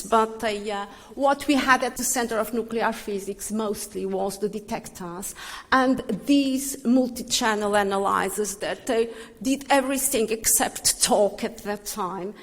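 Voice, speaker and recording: Older woman in a reverberant hall. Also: on the high side. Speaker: speaker giving a tribute. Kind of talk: commemorative speech. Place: large reverberant hall, live speech.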